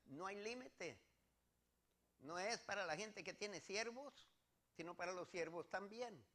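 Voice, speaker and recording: A man's voice: sits high, expressive, unhurried, bright, forceful tone. A man with a Mexican accent preaching as a pastor, teaching scripture step by step to a congregation with emphatic, repeated phrases.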